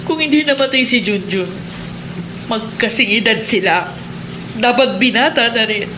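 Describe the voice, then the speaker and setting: A woman acts as an aggrieved middle-aged wife in a Filipino radio drama, still grieving. Her voice runs a little high and presses each complaint insistently. Clear ambient noise plays behind her. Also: unhurried, lightly acted. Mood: bitter grief.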